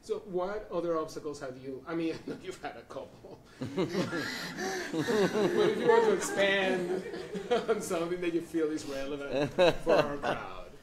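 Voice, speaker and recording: A man on a discussion panel speaking in a thoughtful, halting way. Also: on the high side, lively intonation, recorded from a distance, crowd noise. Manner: thoughtful, halting, restarts phrases. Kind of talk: reflective panel talk. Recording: roomy, from off-mic.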